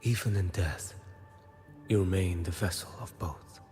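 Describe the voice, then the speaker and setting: Blind bodyguard game character, really low voice, grave n cryptic, big echo, music under. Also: male voice, a little mellow. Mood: solemn, probing. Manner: measured, cryptic, formal. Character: blind, solemn watchful male bodyguard and investigator. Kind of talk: scripted video game dialogue. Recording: long reverb, music underneath.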